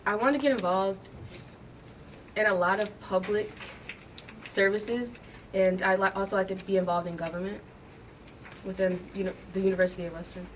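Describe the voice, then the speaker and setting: A woman's voice: mid-range voice, fairly even intonation, moderate pace, pauses often. A young woman, a graduating student, answering interview questions in short, earnest statements. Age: young adult. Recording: distant mic, faint noise.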